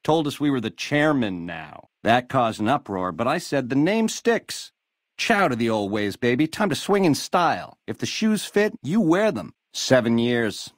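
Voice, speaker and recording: Cocky american casino gangster from a video game, slick retro swinger talk, pitch jumps all over, dry close sound. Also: male voice, sing-song, unhurried, no echo. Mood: boastful, reminiscing. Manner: swaggering retro lounge-lizard slang, calls people baby. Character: slick, cocky male casino gangster boss, smooth-talking schemer. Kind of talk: video game character dialogue.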